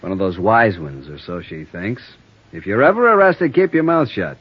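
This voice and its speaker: An actor playing a calm, probing police sergeant questioning a suspect in an old radio drama. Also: male voice, lowish voice, normal speed, husky. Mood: steady. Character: steady middle-aged male police sergeant interrogator. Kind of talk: 1950s radio drama acting. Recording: dull old radio broadcast.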